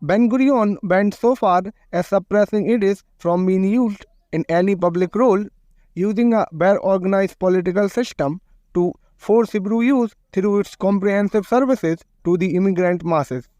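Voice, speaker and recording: High-voiced man narrating history. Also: moderate pace, a little mellow, no echo. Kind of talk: scripted documentary narration.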